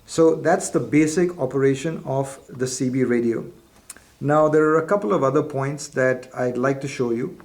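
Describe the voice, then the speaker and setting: A man's voice: mid-range voice, moderate pace, a little mellow. A man presenting a product in an instructional demonstration, explaining methodically step by step.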